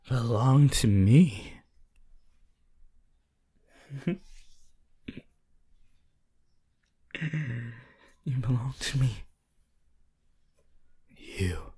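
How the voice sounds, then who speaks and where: An obsessive yandere lover, played by a voice actor in an intimate ASMR roleplay. The pitch swings widely between tenderness and mania. The delivery is very slow, with a husky, breathy edge. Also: exaggerated voice. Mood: menacing, possessive. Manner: slow, drawn-out, intimate, swelling from whispers to loud outbursts.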